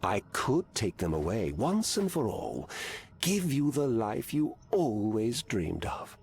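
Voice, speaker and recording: Very raspy, imposing, solemn tempter. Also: male voice, lowish voice, sing-song, takes it slowly, darkish tone, exaggerated voice. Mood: solemn, tempting. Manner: formal, solemn. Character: grave, imposing male figure offering tempting bargains. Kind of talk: video game character dialogue.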